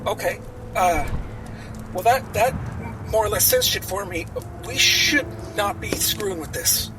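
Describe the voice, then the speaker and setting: A man playing a tabletop game in character, talking in a folksy, halting way. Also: sits high, far from the mic, over music. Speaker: player.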